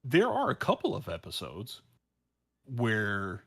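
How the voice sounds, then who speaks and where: Male podcast panelist, unhurried delivery, informal and emphatic, restarts phrases while thinking aloud. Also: on the low side, huge pitch swings, gravelly, fairly bright tone. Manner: halting, repeats words, emphatic, informal. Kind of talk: casual podcast discussion.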